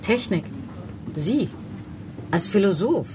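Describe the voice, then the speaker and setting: An actress playing a sceptical, weary job-centre clerk in a radio drama, curt, dry and condescending. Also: female voice, mid-range voice, lively intonation, slow pace, background noise. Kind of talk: German radio drama dialogue.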